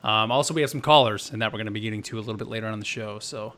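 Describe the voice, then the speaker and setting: A man's voice: lowish voice, rapid-fire, a bit bright. A man hosting a gaming podcast, casual and upbeat, with announcer-like intros. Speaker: podcast host.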